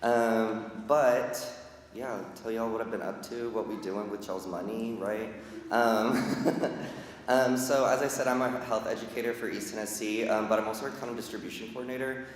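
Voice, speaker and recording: A man, speaking loudly and casually as a community health educator addressing a church congregation. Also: lowish voice, fairly even intonation, moderate pace, slight background noise. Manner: loud, casual and joking. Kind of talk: casual guest announcement. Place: large church sanctuary. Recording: echoey hall with long reverb.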